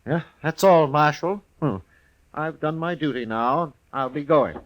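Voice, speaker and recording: A man's voice: fairly high pitch, measured pace, close to an everyday voice, low-quality recording. An actor playing an odd traveling whiskey salesman in a 1950s radio western, rambling and insistent with an ominous manner. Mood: earnest, secretive.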